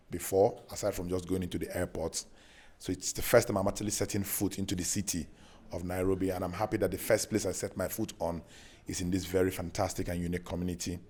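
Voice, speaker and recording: A deep-voiced man from Nigeria giving a courteous, measured self-introduction. He speaks formally to a community gathering and pauses now and then to find his words. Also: a little mellow, husky. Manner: courteous, measured, slightly halting. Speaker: visiting speaker at a community meeting.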